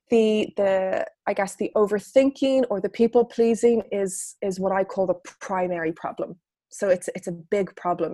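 A woman's voice: mid-range voice, a little mellow, dry recording. A woman coach and author, talking earnestly through a personal story in an interview answer. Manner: earnest, storytelling, frequent restarts.

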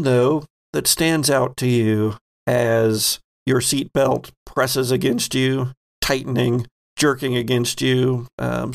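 Creaky-voiced man narrating a horror game. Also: mid-range voice. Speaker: game master.

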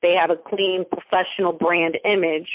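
A woman's voice: on the low side, flat delivery, moderate pace, no room sound. Motivational woman on a tinny phone line. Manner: emphatic, motivational, stacks repeated phrases. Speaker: guest, mentor and business founder. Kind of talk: interview over a phone line.

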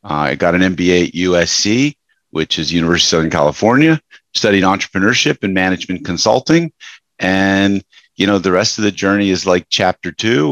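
A man's voice: low voice, a bit bright, no room sound. A middle-aged man from New York, a business coach telling his life story as a podcast guest, rambling with fillers and restarts. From New York, USA. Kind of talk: casual interview storytelling.

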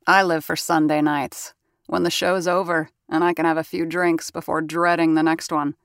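Wry studio sound-effects worker, lowish voice, dry, sardonic, confiding, unflappable, audio drama narrator. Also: female voice, flat delivery, moderate pace, no echo.

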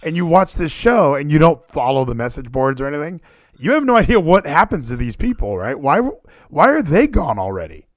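A man talking casually and animatedly as a podcast co-host, full of quick asides. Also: on the high side, big pitch swings, no room sound, studio quality. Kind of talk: casual wrestling chat.

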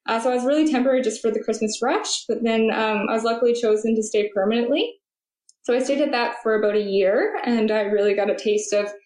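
A woman's voice: on the high side, a little mellow. Chatty young woman in a roomy echo. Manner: chatty, many ums and uhs. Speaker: guest, flight attendant. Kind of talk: casual interview answers.

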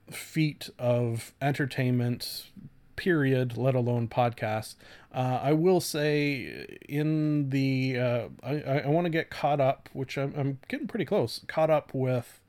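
A man hosting a solo podcast in a casual, rambling way, halting often, swearing lightly and putting himself down. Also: mid-range voice, a little mellow. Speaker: podcast host.

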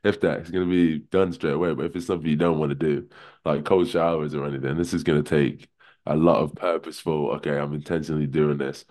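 A man with a deep voice, a podcast guest talking in a relaxed, rambling way full of fillers. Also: fast. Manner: relaxed, rambling, many "kinda" and "you know". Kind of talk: casual podcast chat.

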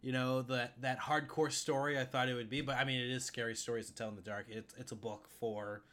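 A man's voice: mid-range voice, flat delivery, a little fast, a bit bright. A man co-hosting a podcast, speaking in an informal, conversational style. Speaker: podcast co-host. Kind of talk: casual podcast chat and host-read ad.

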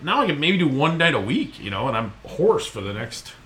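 A middle-aged man hosts a casual podcast from a home room, his bright voice swinging lively up and down. He rambles with frequent restarts and pokes fun at himself, with a faint hiss behind him. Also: fairly high pitch, brisk. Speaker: podcast host. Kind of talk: casual chat.

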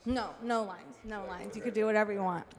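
A woman's voice: mid-range voice, steady tone, a little fast, crowd noise. A woman hosting an improv podcast casually, directing and organizing the people around her. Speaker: host of an improv radio-play podcast.

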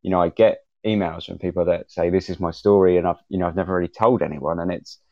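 A man talking casually as a podcaster on a panel, in a halting way with repeats and soft qualifiers. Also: sits low, fairly even intonation, creaky. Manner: halting, repeats and hedges softly. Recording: dull, roomy, echoing audio.

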